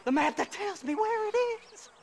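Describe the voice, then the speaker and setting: A man's voice: extremely high pitch, animated, normal speed, vocal fry, heavily acted. An actor playing a half-insane, unwashed male grave robber in a video game, with a cackling, rambling, unhinged manner. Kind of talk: video game cutscene acting.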